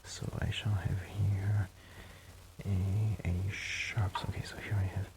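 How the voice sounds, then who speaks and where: A man chatting casually and intimately in an ASMR roleplay, soft and hesitant, self-deprecating, often dropping close to a whisper. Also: deep voice, little pitch movement, takes it slowly. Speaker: ASMR performer and amateur musician friend.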